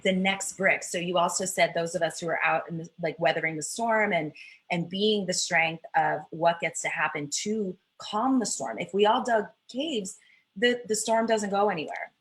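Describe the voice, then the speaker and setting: A woman's voice: fairly low pitch, moderate pace, rough recording. A woman hosting a live online interview over a video call, reflectively summing up her guest's points. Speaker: host.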